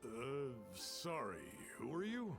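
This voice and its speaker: A man's voice: medium pitch, very animated, takes it slowly. An actor playing a wary, easily affronted townsman in a video game, with music behind him. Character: wary, indignant healthy male townsman in a game.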